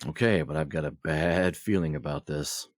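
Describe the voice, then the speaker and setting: A man with a husky voice, playing himself in a comedic audio skit with a wry, skeptical manner. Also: a bass voice, moderate pace, no room sound. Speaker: podcast cast member playing himself.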